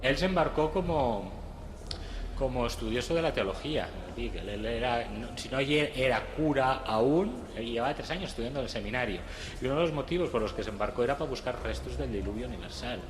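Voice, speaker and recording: A man with a mid-pitched voice explains things informally, as in an interview. The recording is echoey, with clear noise behind him. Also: animated, fairly quick.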